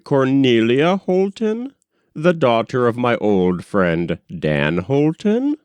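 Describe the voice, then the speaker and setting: Older gentleman of business, put on by a narrator: hearty, blustery storybook man with swooping, animated pitch. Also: slow, smooth, dry recording. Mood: genial surprise. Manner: hearty, old-fashioned exclamations.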